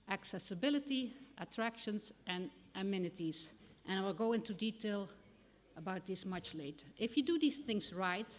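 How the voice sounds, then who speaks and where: A Dutch-accented woman from the Netherlands giving a conversational conference talk with casual fillers. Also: mid-range voice. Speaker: conference speaker. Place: conference hall. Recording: long hall reverb.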